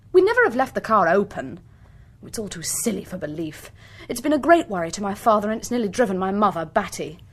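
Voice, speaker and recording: Haughty, husky young English woman. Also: on the high side. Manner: clipped, defensive, exasperated. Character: haughty, indignant well-bred young female tourist. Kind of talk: radio drama acting.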